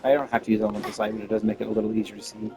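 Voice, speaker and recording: A man's voice: fairly low pitch, level tone, rapid-fire, music in the background. A man giving casual game playthrough commentary as a streamer, musing and thinking aloud.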